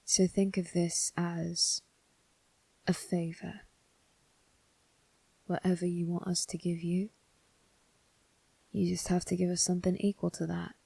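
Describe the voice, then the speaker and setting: A woman voicing a sly, predatory demon disguised as a servant in a scripted ASMR roleplay, with a breathy, whispery voice and a teasing, deliberate delivery. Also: on the low side, level tone, warm tone, dry recording, full character voice, poor audio. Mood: conspiratorial. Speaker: voice actor.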